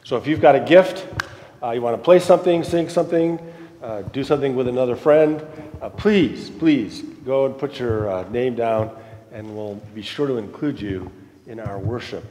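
A man leading church announcements and giving the blessing in a relaxed, friendly way. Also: mid-range voice, normal speed, roomy sound, big-room sound. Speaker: pastor. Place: church sanctuary.

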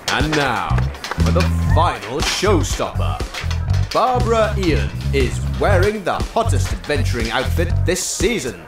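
A man's voice: fairly high pitch, creaky, full character voice. A man playing a smug, theatrical fashion-show announcer in a comedic radio drama, with patronising sales patter. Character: smarmy, showy male fashion-show compère. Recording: echoey, distant PA, music behind.

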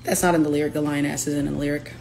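A young woman, a singer-songwriter, chatting playfully on a livestream from her living room, her voice raspy from allergies. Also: sits low, flat delivery, very fast, a little mellow, slight background noise. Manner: chatty, playful, breaks into lyrics. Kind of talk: casual livestream chat. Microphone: phone camera at arm's length.

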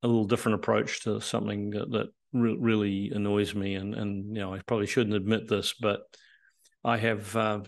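A man's voice: on the low side, creaky. An older man joining a podcast by video call as a guest, explaining at length with frequent restarts. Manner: measured, explanatory, many restarts and fillers. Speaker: guest, tech company CEO. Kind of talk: casual interview chat. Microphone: remote video call.

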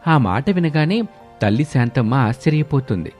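A man's voice: mid-range voice, animated, normal speed, a little mellow. A man narrating a scripted moral story as a storyteller. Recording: background music under the voice.

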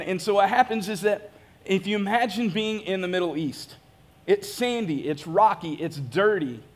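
Joking pastor preaching on a church stage. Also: male voice, sits high. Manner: casual, joking, self-deprecating preaching. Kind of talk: sermon.

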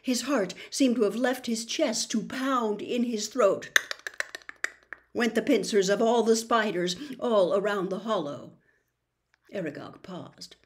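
A woman in her sixties reading a story aloud in a bedroom, with deliberate, suspenseful, theatrical narration. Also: mid-range voice. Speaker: storybook reader. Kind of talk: dramatic audiobook-style narration. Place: small bedroom, indoors.

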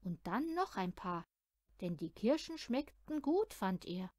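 Female storyteller for children's audiobook, very animated, measured pace, dry close sound. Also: medium pitch, dry recording. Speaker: audiobook reader of children's stories. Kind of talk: expressive storytelling narration for children.

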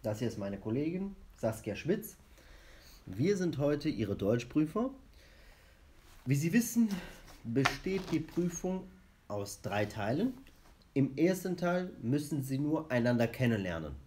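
Lively-voiced male examiner. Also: mid-range voice, unhurried. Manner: clear, guiding, instructive. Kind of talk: scripted exam instructions.

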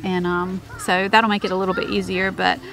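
A woman's voice: fairly low pitch, flat delivery, brisk, vocal fry, low-quality recording. A woman in her thirties talking casually outdoors on her homestead, with many fillers and restarts. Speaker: homestead vlogger. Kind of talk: casual chat.

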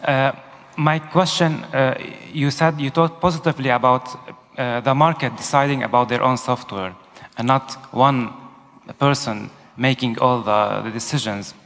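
A man's voice: medium pitch, steady tone, moderate pace, a bit bright. A man in the audience asking the speaker a question, hesitant and full of filler sounds. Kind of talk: conference Q&A question. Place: large conference hall. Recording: echoey conference hall.

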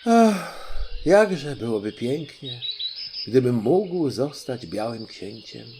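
A man playing a naive, dreamy young prince in a storybook, excitable and gullible. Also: mid-range voice, slow pace, background noise. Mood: wistful.

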